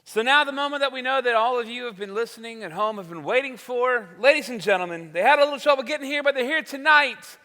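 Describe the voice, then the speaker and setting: A man's voice: very high-pitched, fast, few pauses, pushed and bright, heavily acted. A man playing a folksy old-time radio show host, recorded on stage in an echoey hall. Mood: showy, welcoming. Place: large church hall stage.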